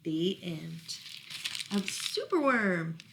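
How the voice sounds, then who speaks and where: A woman reading children's stories aloud as a library storytime host, animated and putting questions to her young listeners. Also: on the low side, very slow, vocal fry, noisy background.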